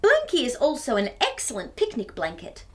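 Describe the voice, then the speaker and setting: A woman reading a story aloud to young children in an expressive, exclaiming manner, often putting questions to her listeners. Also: fairly high pitch, normal speed.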